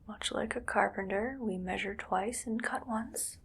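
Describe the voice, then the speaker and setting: A woman playing an eerily calm, gleefully morbid mad scientist in an ASMR roleplay, speaking in a hushed, breathy, musing whisper. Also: medium pitch, full character voice. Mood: softly menacing. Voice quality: breathy whisper with soft creaky voicing. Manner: slow, hushed, musing to herself.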